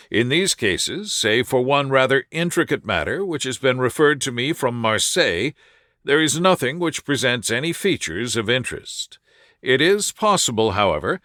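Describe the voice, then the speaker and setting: A shrewd, self-assured male detective as a man reads him in a storybook narration. He explains things calmly and precisely, with a mid-pitched voice, lively intonation and a dry, close sound. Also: dry recording.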